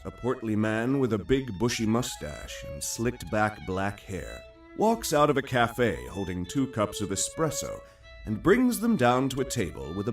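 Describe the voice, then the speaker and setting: A man's voice: medium pitch, recorded from a distance. A man reading scripted dramatic narration for an audio drama.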